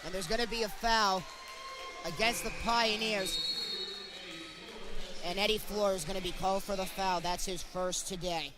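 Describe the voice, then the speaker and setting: A man's voice: sits high, steady tone, normal speed, long pauses, noisy background. A man working as a sportscaster, delivering play-by-play and scripted reporting.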